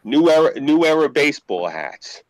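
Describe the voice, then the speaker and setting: A man in his late thirties with a deep voice, ranting casually and profanely with frequent laughter. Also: very animated, moderate pace, dry recording, rough recording. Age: late 30s. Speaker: podcast co-host. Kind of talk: casual chat.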